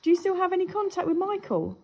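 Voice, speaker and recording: A woman ghost hunter, coaxing a spirit in a dark church at night and repeating her questions. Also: extremely high pitch, very animated, fast talker. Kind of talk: calling out to a spirit.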